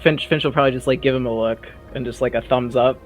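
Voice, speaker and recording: A man's voice: mid-range voice, fairly even intonation, fast talker. A man chatting casually as a player in a tabletop roleplaying game, describing his character's actions off the cuff. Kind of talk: casual improvised game chat. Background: quiet music.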